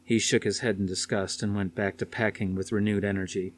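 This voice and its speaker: A man narrates dramatic fiction as an audiobook reader. His voice is on the low side, and the delivery is scripted storytelling. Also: level tone, a little fast.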